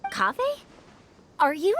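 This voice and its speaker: A woman's voice: sits high, wildly swooping pitch, slow, noisy background, exaggerated voice. A woman voicing a proud, young-sounding scholar who talks like an elder, with formal, old-fashioned diction, in an anime-style video game. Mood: proud, inquisitive.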